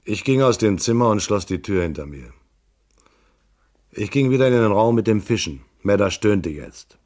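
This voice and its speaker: A man's voice: lowish voice, expressive, moderate pace, a little mellow, lightly acted. A man playing a tough private detective who narrates a hard-boiled radio crime drama tersely and matter-of-factly. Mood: laconic. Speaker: first-person narrator.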